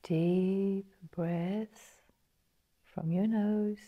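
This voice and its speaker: A woman guiding a meditation in a soft, breathy, partly whispered voice, measured and full of pauses. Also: mid-range voice, fairly even intonation, phone-quality sound. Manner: slow, measured, pausing between phrases. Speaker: meditation guide. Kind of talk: guided meditation narration.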